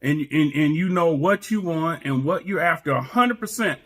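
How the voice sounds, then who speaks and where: Bright-voiced married man preaching about marriage. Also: fairly high pitch, lively intonation, moderate pace. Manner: preachy, emphatic, repeats words when starting. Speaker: co-host. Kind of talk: casual chat about marriage.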